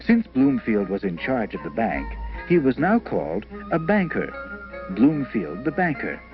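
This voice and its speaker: A man's voice: medium pitch, huge pitch swings, measured pace, lo-fi sound. A man narrating a scripted children's storybook tale on an old educational film soundtrack. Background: music.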